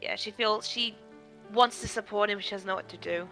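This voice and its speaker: Bright-voiced woman chatting over game music. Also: mid-range voice, moderate pace. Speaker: co-host commenting on the stream. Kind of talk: casual game commentary chat.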